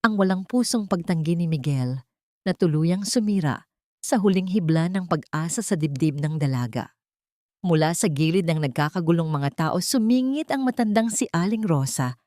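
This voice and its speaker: A woman's voice: on the low side, dry recording, clean studio sound. A woman with a Filipino accent narrating a dramatic scripted story.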